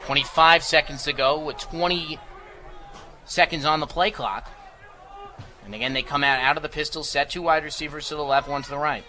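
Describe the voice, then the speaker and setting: A man's voice: a little high, fairly even intonation, moderate pace, bright, forceful tone. An American man calling live football play-by-play for radio in clipped, descriptive phrases. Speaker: play-by-play sports announcer. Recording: live broadcast with faint background noise.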